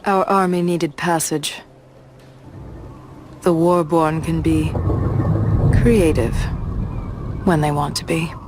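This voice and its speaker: A woman playing a skeptical warrior who recounts her campaign in a video game in a measured, reflective way. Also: low-pitched, steady tone, noisy background. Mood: guarded reflection. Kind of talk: dramatic scripted game narration. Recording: loud music behind the voice. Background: loud music.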